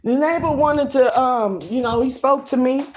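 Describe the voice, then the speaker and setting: A woman's voice: high voice, normal speed, a little mellow, a little hiss or hum. A woman, ranting bluntly and swearing freely in a casual livestream. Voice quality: strong voice. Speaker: livestream vlogger. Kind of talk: casual rant to camera.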